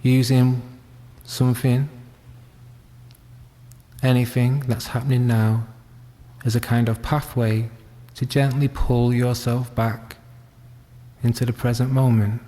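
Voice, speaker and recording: A man leading a guided meditation, speaking gently and leaving long pauses. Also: medium pitch, flat delivery. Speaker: meditation teacher. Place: reverberant room at a wellness centre. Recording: long hall-like reverb.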